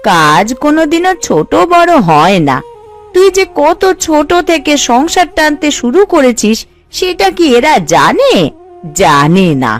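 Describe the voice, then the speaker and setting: Woman voicing a gentle, protective cartoon mother. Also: high-pitched, sing-song, measured pace. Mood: concerned, consoling. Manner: consoling, advising. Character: gentle, protective middle-aged mother advising her grown son. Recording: light background music.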